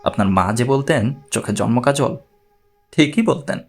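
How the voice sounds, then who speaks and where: A man playing a calm, observant investigator who asks short, gentle, probing questions in an audiobook. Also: medium pitch, moderate pace, slightly rough, soft background music, voice pushed down. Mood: warm, curious.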